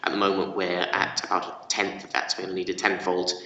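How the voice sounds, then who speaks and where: A man with a very deep, flat voice and a bright edge presses a follow-up question at a council meeting, fillers and all. He is some distance from the mic, in a hall with a long echo. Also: quick pace, highs cut off, a little hiss or hum.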